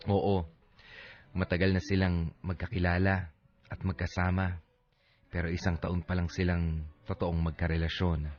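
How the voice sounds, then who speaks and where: Low-voiced heartbroken young man. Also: highs cut off. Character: heartbroken young man narrating his letter, torn, earnest. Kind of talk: radio drama letter narration.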